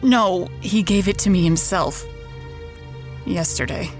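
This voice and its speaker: An actress playing a stubborn, fatalistic dying woman in an audio drama, speaking in a halting way. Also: fairly low pitch, a little mellow, soft background music. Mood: flat, defiant. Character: stubborn, resigned dying woman haunted by ghosts.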